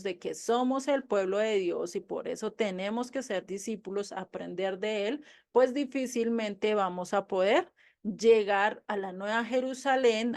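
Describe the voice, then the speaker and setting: A woman teaching a Bible study to a group, conversational, often asking rhetorical questions. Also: medium pitch.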